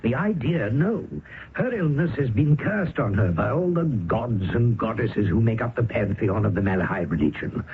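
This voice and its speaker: Man doing a nervous wry storyteller friend, lively mid-pitched voice, tinny old radio with music. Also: normal speed, noisy background, natural voice. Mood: persuading. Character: wary male writer friend, cautious narrator. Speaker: narrator. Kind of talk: 1970s radio drama narration and acting.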